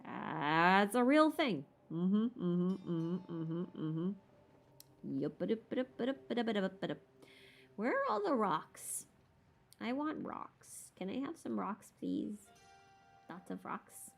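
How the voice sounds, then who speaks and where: A woman with a medium-pitched voice chats casually on a livestream as she plays a game. She is playful and self-deprecating, rambling along and drifting into little muttered asides. Music plays behind her. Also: big pitch swings, slow, vocal fry. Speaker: streamer hosting a live Q&A. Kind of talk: casual rambling livestream chat while gaming.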